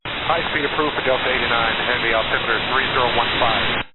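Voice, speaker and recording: A man's voice: mid-range voice, fairly even intonation, quick pace. A man speaking as an airline pilot, giving clipped readbacks over cockpit radio. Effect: cockpit radio transmission. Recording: narrow-band, hissy cockpit radio. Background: clear hiss and aircraft noise.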